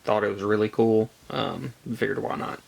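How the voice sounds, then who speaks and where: A man with a congested, gravelly voice from a cold, chatting casually as he shows off a collection haul in a halting way. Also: on the low side, fairly even intonation, darkish tone, slight background noise. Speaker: comic book collector presenting a haul. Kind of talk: casual show-and-tell vlog chat.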